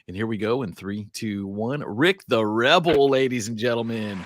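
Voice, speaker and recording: A man's voice: lowish voice, moderate pace, no room sound, studio quality. A man co-hosting a podcast, chatting casually, laughing often and hyping up the guest. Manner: animated, laughs often, hype-man intros. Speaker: podcast co-host. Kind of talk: casual chat.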